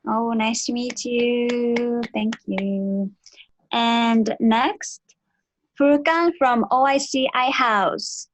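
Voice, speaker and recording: A young woman facilitating a student panel talk in English, with lots of soft murmurs of agreement. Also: fairly high pitch, extremely slow, dry recording, rough recording. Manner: frequent soft backchannel murmurs and laughs.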